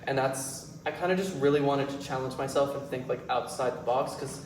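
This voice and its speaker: A man's voice: medium pitch, fairly quick. An eighteen-year-old man talking casually as a playwright and director at a question session in a performance space. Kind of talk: casual post-show talk. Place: indoor performance space, recorded from afar. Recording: echoey room, distant mic, faint noise.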